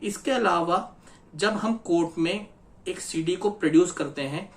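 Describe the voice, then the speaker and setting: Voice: mid-range voice, unhurried. Methodical Indian legal explainer. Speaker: legal advisor presenting an explainer. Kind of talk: explanatory lesson addressed to viewers.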